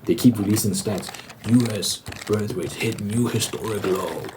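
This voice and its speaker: A man's voice: fairly low pitch, normal speed. A man hosting an ASMR video, rambling casually in an informal monologue and repeating phrases for emphasis. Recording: clear typing and crinkling noise.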